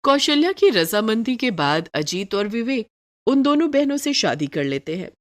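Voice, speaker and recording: A woman's voice: fairly high pitch, sing-song, moderate pace, no room sound, clean studio sound. A woman reading scripted narration for a moral story, as its storyteller.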